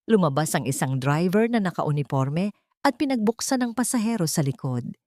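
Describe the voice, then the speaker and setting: Expressive Filipino woman narrator, slightly low voice. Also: dry recording, clean studio sound. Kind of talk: dramatic scripted story narration.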